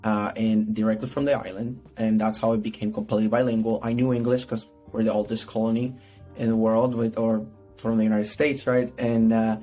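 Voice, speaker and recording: A Puerto Rican man with a native Spanish speaker's accent talks casually as an interview guest. His voice is on the low side and quite flat in pitch. He rambles, repeats words and checks in with "right?", with music behind him. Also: fairly quick. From Puerto Rico. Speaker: podcast guest, PR strategist. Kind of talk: casual conversational interview answers.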